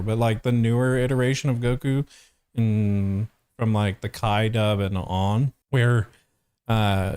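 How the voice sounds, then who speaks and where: A man's voice: mid-range voice, darkish tone, clear, resonant tone, dry recording. Young man, dry close-miked casual commentary. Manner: hesitant, searching, analytical. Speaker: voice actor reacting and reviewing.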